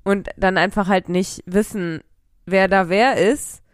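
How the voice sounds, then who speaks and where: A woman's voice: medium pitch, steady tone, takes it slowly, smooth, no echo. A young woman with a cold, chatting casually as a podcast co-host at a close mic, rambling with fillers and frequent laughs. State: has a cold. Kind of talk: casual chat. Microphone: close studio mic.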